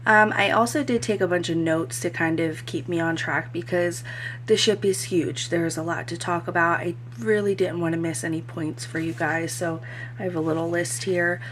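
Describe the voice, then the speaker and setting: A woman talking casually as a cruise vlogger, her voice a bit hoarse from crying the day before, with lots of "um" fillers. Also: fairly low pitch, steady tone, normal speed, a bit bright, a little hiss or hum. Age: adult. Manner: chatty, frequent "um" fillers. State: slightly hoarse voice after crying. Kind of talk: casual review vlog.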